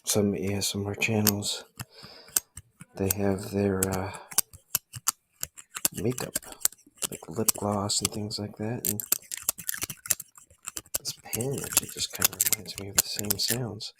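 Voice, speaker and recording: A man speaking softly for ASMR close to the microphone, breathy and often near a whisper, in a hesitant, rambling murmur. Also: fairly low pitch, level tone, takes it slowly, long pauses, heavy background noise. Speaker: ASMR creator playing a spy dad showing gear. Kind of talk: ASMR roleplay, soft-spoken.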